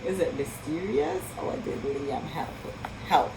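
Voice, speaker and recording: A woman reading a picture book aloud to children in an expressive storytelling style, with marvelling asides and questions to her young listeners. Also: low voice, a little slow, noisy background.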